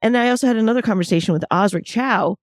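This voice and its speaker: A woman's voice: fairly high pitch, rapid-fire, no room sound, studio quality. A woman hosting a podcast, asking questions and listening attentively with frequent short affirmations. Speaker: podcast host. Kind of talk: interview questions.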